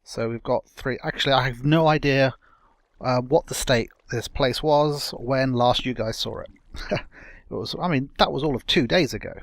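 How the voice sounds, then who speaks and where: Young male let's-play host, slightly croaky, rambling casual commentary, dry close sound. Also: medium pitch, normal speed, no room sound. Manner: rambling, self-correcting, chatty.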